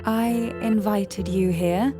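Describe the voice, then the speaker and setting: A woman playing a poised, secretive noblewoman in a video game cutscene, speaking in a formal, measured, cryptic and grave manner. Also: mid-range voice, fairly even intonation, over music, lightly acted. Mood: composed, probing.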